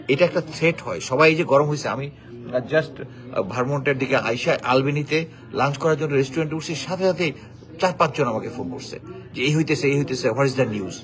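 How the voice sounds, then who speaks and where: A man with a Bangladeshi accent giving casual off-the-cuff commentary, halting and mixing in English words. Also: a little high.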